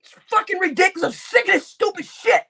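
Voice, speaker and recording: A woman livestreamer is on a tearful, furious rant, her voice very high and shrill. She shouts and swears without pause, going back over the same complaints, and the voice cracks into a gravelly fry. It all sounds raw and unscripted. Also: expressive. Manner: shouting, heavy swearing, repetitive outbursts. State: crying. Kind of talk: angry livestream rant to chat.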